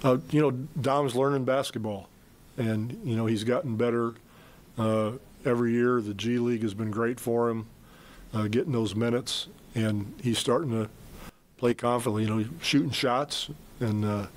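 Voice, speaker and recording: A man's voice: mid-range voice, steady tone. Older man coaching at a press conference. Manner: measured, plain-spoken, many fillers and restarts. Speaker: basketball head coach. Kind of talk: postgame press conference answers. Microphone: desk mic on stand.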